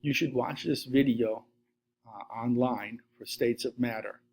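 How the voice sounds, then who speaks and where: A man's voice: mid-range voice, a little slow, breathy edge. A middle-aged man, a science teacher giving a recorded slide lesson at his desk webcam, steadily reading through lists. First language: Latvian. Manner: steady list-reading delivery.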